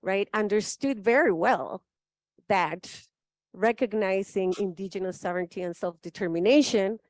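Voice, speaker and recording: A woman speaks as a forum panelist. She is thoughtful and emphatic and often checks in with her listeners. Her voice sits at a middle pitch and the sound is dry. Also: moderate pace, dry recording. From Chile. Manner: thoughtful, frequent "right?" tags, emphatic. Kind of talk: spoken forum remarks.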